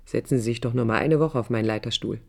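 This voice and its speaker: A woman's voice: extremely low pitch, fast talker. An actress playing a passionate, searching young woman in a radio play, reflective and intimate, sometimes close to a whisper. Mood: musing. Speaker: radio drama actress, narrator and lead. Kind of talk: 1980s German radio play acting, intimate monologue. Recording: music under a studio radio recording.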